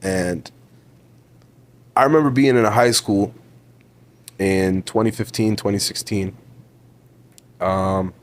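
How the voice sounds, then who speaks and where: A young man in his twenties with a Toronto accent talks on a podcast in a somewhat low voice. He sounds emphatic and motivational, leaning on repeated words to drive each point home. He is casual with the host and keeps calling him bro. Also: slow, pauses often. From Ontario, Canada. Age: 20s. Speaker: podcast guest. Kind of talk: casual interview talk.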